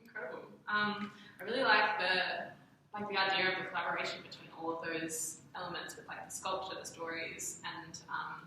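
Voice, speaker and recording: A woman interviews in a casual, effusive and encouraging style. Her bright voice comes from some distance off the mic, in a strongly echoing room. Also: fairly low pitch, flat delivery, moderate pace. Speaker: interviewer, host. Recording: very echoey room, distant mic, faint noise.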